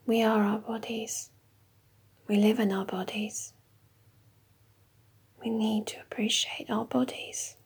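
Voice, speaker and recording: A woman leading a guided meditation in a slow, soft, mostly whispered voice. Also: a little high, level tone, vocal fry, breathy edge.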